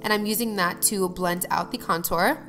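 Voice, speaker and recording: Young woman beauty vlogger, mid-pitch, slightly nasal from a cold, chatty and upbeat, soft music behind. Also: normal speed. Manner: chatty, upbeat, addresses viewers directly. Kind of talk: get-ready-with-me voice-over.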